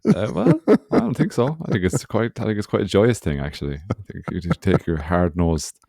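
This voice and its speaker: A man's voice: sits low, lively intonation, brisk, creaky, darkish tone, no echo, clean studio sound. An Irish man in his forties, co-hosting a podcast with rambling, sweary, joking chat, sounding hungover. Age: 40s. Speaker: podcast co-host. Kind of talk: casual banter.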